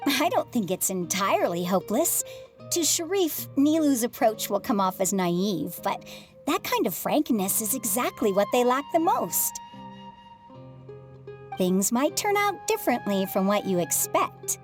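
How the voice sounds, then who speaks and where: A woman voicing a thoughtful, reassuring theater troupe member who speaks calmly in a game cutscene. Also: on the high side, faint music behind. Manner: calm, measured, reassuring.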